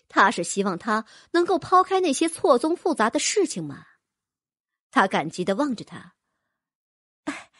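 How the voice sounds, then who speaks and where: High, lively woman narrating a story. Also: dry recording, studio quality. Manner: lively, expressive reading. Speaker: audiobook narrator. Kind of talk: scripted narration.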